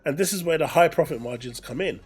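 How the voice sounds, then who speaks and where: A man's voice: fairly high pitch, fast talker, darkish tone, slightly rough. A man commenting casually on gaming business news, reading aloud and adding explanatory asides, often repeating phrases for emphasis.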